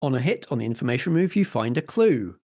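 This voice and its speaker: Man narrating as game master, medium pitch. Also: sing-song, fast, a little mellow. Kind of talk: improvised narration and rules explanation for tabletop roleplay.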